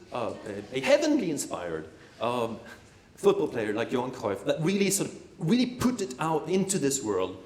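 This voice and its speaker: A man's voice: medium pitch, darkish tone, a little hiss or hum. A man giving a live performance lecture, rambling with many fillers and repeated words and tossing playful asides to the audience. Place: lecture room at an art academy. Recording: echoey room.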